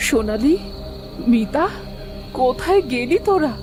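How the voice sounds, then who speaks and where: A woman voicing a hardworking, caring village mother and street seller in a cartoon story, expressive in delivery. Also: high voice, unhurried, over music. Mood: anxious. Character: hardworking, caring village mother who sells rice cakes. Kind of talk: Bengali animated story dubbing.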